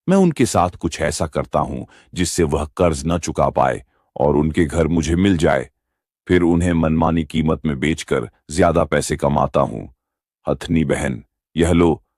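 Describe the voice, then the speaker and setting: A man's voice: a bass voice, a little mellow, no room sound, studio quality, full character voice. A man voicing a bear moneylender in a children's moral-story cartoon, dramatic and lamenting in manner. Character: male bear moneylender, scheming yet remorseful. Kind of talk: Hindi animated moral story dialogue.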